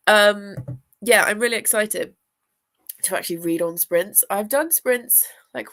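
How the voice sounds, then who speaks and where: A woman's voice: medium pitch, gravelly, a bit bright. A young woman with a congested, sniffly voice from a chest infection, chatting casually and rambling with viewers on a live stream. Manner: chatty and rambling, lots of fillers, greets viewers. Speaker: booktuber hosting a live reading sprint; teacher.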